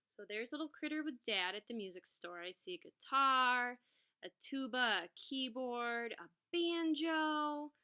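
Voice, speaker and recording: A woman with a bright, fairly high voice reads a children's storybook like a teacher. She is expressive and pauses to explain things directly to young listeners. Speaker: music teacher reading aloud to pupils. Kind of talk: children's storybook read-aloud with asides.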